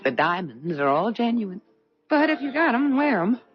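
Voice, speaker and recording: An actress playing a wealthy, flashy middle-aged socialite who gushes, in a 1970s radio drama. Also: female voice, on the high side, very animated, moderate pace. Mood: surprised, gushing. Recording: muffled vintage radio recording with music.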